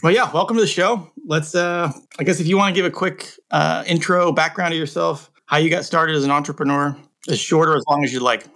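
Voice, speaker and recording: A man hosting an interview podcast, upbeat and chatty, often pausing on fillers and restarts. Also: on the high side, a bit bright. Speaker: podcast host. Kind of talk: casual interview chat.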